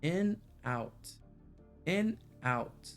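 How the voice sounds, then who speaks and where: A man's voice: a little high, lively intonation, very slow, fairly bright tone, soft background music. A man livestreaming a visual novel, reading the narration aloud in a playful way and sometimes stumbling or breaking into chatty asides.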